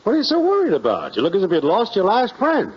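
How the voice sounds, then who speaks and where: Animated, husky nosy neighbor. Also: male voice, high voice, fast talker, exaggerated voice. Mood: chummy. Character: breezy, nosy, chummy next-door neighbor man. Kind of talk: 1950s radio sitcom acting. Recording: muffled 1950s radio recording.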